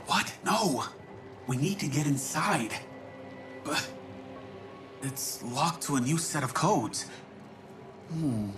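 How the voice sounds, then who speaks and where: A young, determined space operator in a video game. His delivery is earnest and unhurried, ringing with echo over faint music. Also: a little high, animated. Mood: insistent. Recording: echoey room, faint music behind.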